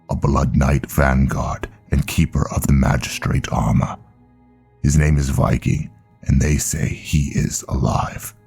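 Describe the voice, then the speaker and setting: A man voicing a solemn, commanding vampire blood knight elder in a fantasy roleplay, with a gravelly, grave, measured and formal delivery. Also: a bass voice, mellow, exaggerated voice. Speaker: character narrator in a roleplay story. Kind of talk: dramatic scripted fantasy roleplay.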